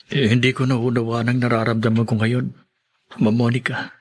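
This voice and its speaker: A man's voice: medium pitch, level tone, moderate pace, natural voice. An actor playing a loyal young student grieving for his friend in a podcast drama, earnest and heavy-hearted. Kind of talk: podcast radio drama acting.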